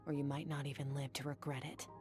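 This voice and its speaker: An actress playing a composed, blunt warrior mentor in a video game, demanding yet fair, with measured, matter-of-fact delivery. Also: female voice, rumbling low, flat delivery, loud music behind the voice. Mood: grave, demanding.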